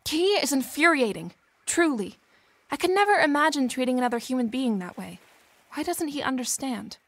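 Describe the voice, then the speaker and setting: A woman's voice: sits high, lively intonation, moderate pace, fairly bright tone, no echo, heavily acted. An actress playing a proud, earnest, headstrong young woman in a period audio drama, with formal diction. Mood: indignant.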